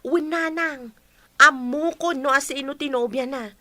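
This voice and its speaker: A woman's voice: sits high, unhurried, bright, forceful tone, no echo. A woman playing an eager, guileless young daughter who tattles, in a radio drama, with an Ilocano Filipino accent. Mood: tattling.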